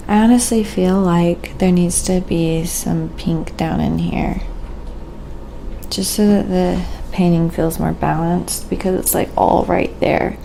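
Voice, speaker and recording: A soft-spoken, sometimes whispery woman chatting casually on an ASMR painting livestream, musing aloud in an unhurried way. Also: fairly low pitch, fairly even intonation, gravelly, a little hiss or hum, low-quality recording.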